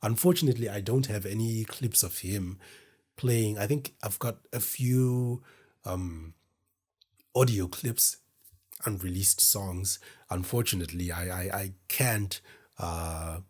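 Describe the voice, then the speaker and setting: Deep, husky man, slow and reflective. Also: a little mellow. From Zimbabwe. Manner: reflective, meandering, self-deprecating. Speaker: podcast host. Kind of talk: casual solo talk to camera. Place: indoors in front of a camera.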